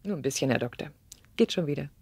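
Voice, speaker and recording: An actress playing a gentle, anxious young mother in a radio play, earnest and hesitant. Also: deep voice, lively intonation, fast, vocal fry, breathy edge, no room sound, studio quality, heavily acted. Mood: uneasy, hesitant. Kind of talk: German radio play acting.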